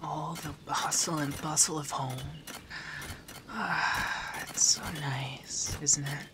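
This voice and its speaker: A man playing a doting, teasing feminine male monster companion in a softspoken, often whispered ASMR roleplay. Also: fairly high pitch, fairly even intonation, slow, background noise. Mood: soft, relieved. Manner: breathy, often dropping to a whisper.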